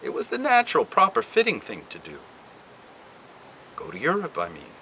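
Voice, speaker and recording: A man reading a book passage aloud, with an expressive, deliberate delivery and dramatic pauses. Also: fairly high pitch, vocal fry, a little hiss or hum, low-quality recording. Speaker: reader. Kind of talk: literary reading.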